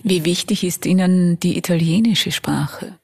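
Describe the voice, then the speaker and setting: A woman hosting a podcast, reading a prepared introduction and asking formal, measured interview questions. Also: on the low side, gravelly, a little mellow, breathy edge.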